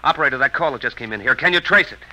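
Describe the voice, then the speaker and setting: An actor playing an earnest, worried young assistant on a search, in 1950s radio drama style. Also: male voice, mid-range voice, animated, racing, bright, forceful tone. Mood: urgent. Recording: very noisy old 1950 radio broadcast.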